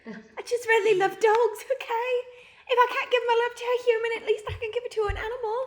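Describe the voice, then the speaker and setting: A woman's voice: very high voice, steady tone, quick pace. A woman playing a needy, defensive eccentric with a dramatic delivery in an improvised comedic radio play. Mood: defensive, plaintive.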